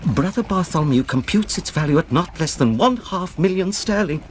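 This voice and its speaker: Fretful English gentleman, played by a man, noisy backdrop. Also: fairly high pitch, expressive, normal speed, close to an everyday voice. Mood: eager, pleased. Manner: stammering, fussy, formal speech. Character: anxious, fretful gentleman, easily driven to panic. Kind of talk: radio drama acting. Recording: noisy background ambience.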